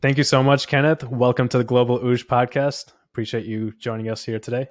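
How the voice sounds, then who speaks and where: A man's voice: medium pitch, little pitch movement, fairly quick, no room sound, clean studio sound, soft background music. A man hosting an interview podcast, introducing his guest and asking questions. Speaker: podcast host.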